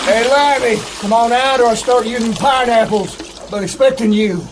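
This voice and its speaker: An actor playing a gangster robber in a radio drama, barking short urgent lines. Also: male voice, extremely high pitch, moderate pace, noisy background. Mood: menacing. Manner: barking short commands and pleas. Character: menacing gangster robber with a rifle, turning to begging.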